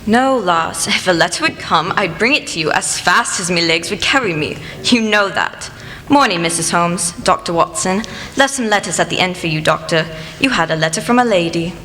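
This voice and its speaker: A woman's voice: lowish voice, animated, moderate pace, pushed and bright. A woman playing a folksy village postman in a hall. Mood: chatty, kindly. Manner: dialect turns, emphatic repetition. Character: folksy rustic village postman, talkative and gossipy. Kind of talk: amateur radio play acting. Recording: echoey hall with faint noise.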